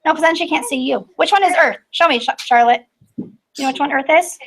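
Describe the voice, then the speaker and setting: A woman with a high voice speaks as a kindergarten teacher. She steers small children along with short, simple directions and questions. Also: fairly quick, crowd noise.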